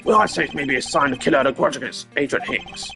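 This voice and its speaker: A man voicing an eager, flustered game police detective who speaks deferentially to his superior, with a gravelly voice. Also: a little high, fast talker, music in the background. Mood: anxious, dutiful.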